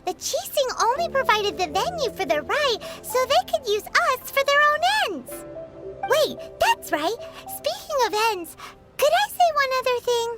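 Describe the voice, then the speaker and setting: A woman voicing a tiny, excitable, greedy and chatty girl companion in a video game, with a squeaky childlike voice and an exclaiming manner. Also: unhurried, loud music behind the voice, exaggerated voice. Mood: chatty, angling. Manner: speaks of self in third person, exclaiming. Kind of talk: video game cutscene voice acting.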